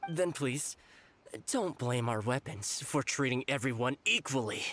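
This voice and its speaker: Brief: pragmatic game outlaw, medium pitch, guarded, persuasive, politely threatening. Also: male voice, lively intonation, measured pace, fairly bright tone. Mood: wary, placating. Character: wary male treasure hoarder, pragmatic, politely menacing. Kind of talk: video game voice acting. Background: game music.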